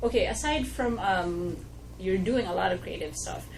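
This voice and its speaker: A woman host asking slow, hesitant interview questions with many fillers. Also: mid-range voice, slight background noise, rough recording. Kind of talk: casual interview.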